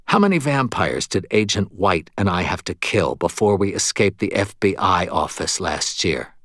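A man's voice: deep voice, big pitch swings, normal speed, fairly bright tone, dry recording, studio quality, full character voice. A man voicing a hostile, stubborn policewoman in an audiobook, speaking in a cold, clipped, defiant way. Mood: guarded.

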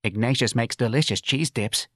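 Man putting on a high-pitched indignant Southern mother. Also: moderate pace, fairly bright tone, dry recording, studio quality, lightly acted. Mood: anxious, wavering. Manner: scolding, pleading, colloquial. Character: protective, indignant older Southern working-class mother.

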